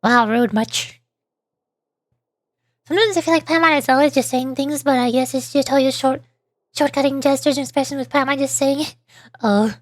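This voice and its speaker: A woman's voice: high-pitched, no echo, clean studio sound. A woman streamer giving casual, playful, reactive commentary on a game.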